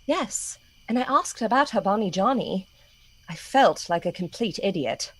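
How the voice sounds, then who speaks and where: A woman's voice: medium pitch, animated, measured pace, slightly rough, rough recording. A woman acting a pert, outspoken lady's maid in a radio play, indignant and teasing. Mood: impatient. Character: pert, outspoken, loyal maidservant, scolding and mocking.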